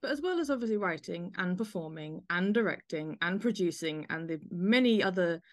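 A woman's voice: on the low side, expressive, a little fast. A woman hosting a podcast, introducing and interviewing in a conversational style.

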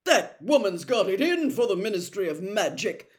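A woman voicing a pompous, self-important young man, loud and indignant. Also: lowish voice, lively intonation, moderate pace. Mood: furious.

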